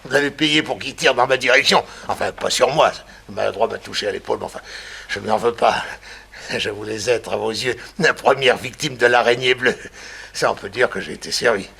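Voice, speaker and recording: Gravelly, gloating mad older murderer. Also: male voice, medium pitch, fairly quick, pushed and bright, full character voice. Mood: cynical satisfaction. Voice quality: gravelly, husky. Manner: theatrical, chuckling, gloating. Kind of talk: 1960s French radio crime drama acting.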